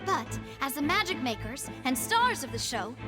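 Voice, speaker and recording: Genteel young lady, very high pitch, playful, poised and coaxing, video game, music clearly behind. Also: sing-song, moderate pace. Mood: coaxing, confident. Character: genteel, playful, self-assured young lady persuading a crowd.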